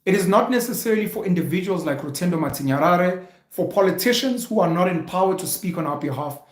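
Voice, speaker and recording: A South African man giving unscripted opinion commentary as a vlog host, speaking in a deliberate, emphatic, explanatory way. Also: high voice, lively intonation. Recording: echoey room.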